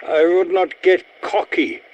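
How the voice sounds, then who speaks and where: An actor playing an eccentric, haughty elderly German scientist in a video game, with a German accent and a pompous, theatrical, precisely worded delivery. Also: male voice, high voice, a little slow, lo-fi sound, a little hiss or hum. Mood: wry, resentful. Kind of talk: video game character voice lines.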